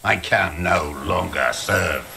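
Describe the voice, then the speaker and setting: An actor playing a bitter, defiant old communist deserter in a video game, gravelly, slow and contemptuous, speaking like a doctrinaire ideologue. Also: male voice, low voice, slight background noise, full character voice. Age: older. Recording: loud music behind the voice. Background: music.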